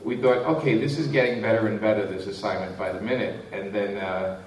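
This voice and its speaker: A man's voice: fairly low pitch, fairly even intonation, fairly quick, far from the mic, a little hiss or hum. A man, a comic writer telling rambling, chatty anecdotes at a live convention panel. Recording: echoey room mic from afar.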